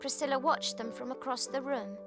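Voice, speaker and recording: A woman with a high voice narrates a children's storybook read-along. Her delivery is expressive and scripted, with music playing clearly behind her. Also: fairly quick.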